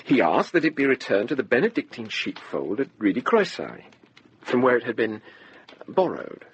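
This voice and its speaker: An actor playing a brisk, wry lawman, dry, precise and knowing, in a radio drama. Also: mid-range voice, big pitch swings, a little hiss or hum. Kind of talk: British radio drama acting.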